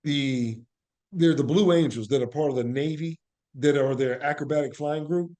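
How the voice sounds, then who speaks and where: Man, American accent, somewhat high pitch, animated storyteller, emphatic, conversational interview guest. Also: normal speed. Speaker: guest, actor and playwright.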